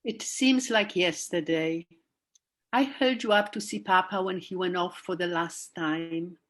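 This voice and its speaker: A woman acting as a proud widowed mother in a radio play, with a measured, deliberate delivery. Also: on the low side. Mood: tender, wistful.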